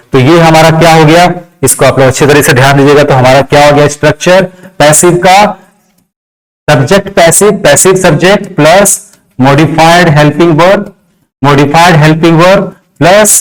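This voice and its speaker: A man's voice: a little high, poor audio. A man teaching a grammar lesson step by step, repeating phrases, asking and answering his own questions, and mixing in English terms. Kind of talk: classroom lesson, step-by-step explanation.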